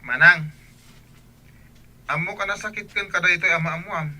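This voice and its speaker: A bright, forceful, slightly high voice for a young student. He is an earnest, protective younger brother in a radio drama. Faint noise sits behind him. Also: brisk. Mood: earnest, worried.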